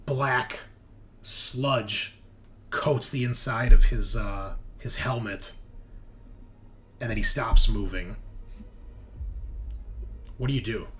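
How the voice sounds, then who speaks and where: Slow, dramatic male game master. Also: medium pitch, fairly bright tone, a little hiss or hum. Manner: deliberate short phrases with suspenseful pauses. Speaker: game master of a tabletop roleplaying game. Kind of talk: dramatic improvised narration with table talk. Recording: music behind the voice. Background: background music.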